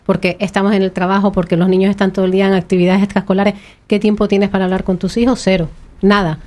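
Canarian woman talking fast, arguing her point on a panel. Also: mid-range voice, flat delivery, a little mellow. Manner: fast, argumentative. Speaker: panel guest. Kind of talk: casual panel chat.

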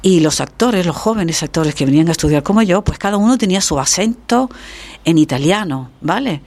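A woman's voice: on the low side, a little fast. Reflective woman telling her story. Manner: reflective, lively storytelling with many restarts. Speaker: guest, actress. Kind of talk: conversational interview.

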